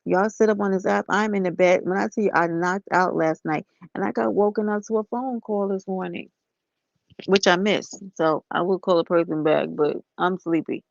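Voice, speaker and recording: A woman, slightly hoarse, talking softly and casually to viewers on a live stream from bed. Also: lowish voice, fairly even intonation, normal speed, dry recording, lo-fi sound. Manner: soft-spoken, talks straight to viewers as y'all and honey.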